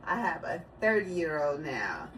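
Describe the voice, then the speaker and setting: A woman's voice: low-pitched, very animated, unhurried. Chatty woman in her forties, distant mic. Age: 40s. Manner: chatty, storytelling, laughs easily. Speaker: vlogger. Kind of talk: casual family chat. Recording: distant mic, noisy room.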